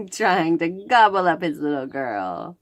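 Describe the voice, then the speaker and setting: A woman's voice: lowish voice, big pitch swings, slow. A woman hosting a children's read-aloud, reading a picture book and chatting to the listener about the pictures. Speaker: host of a children's read-aloud library show.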